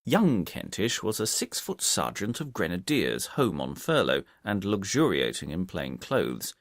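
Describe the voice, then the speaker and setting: Male narrator for mystery audiobook: lowish pitch, very varied intonation, expressive scripted read. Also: measured pace, dry recording, studio quality.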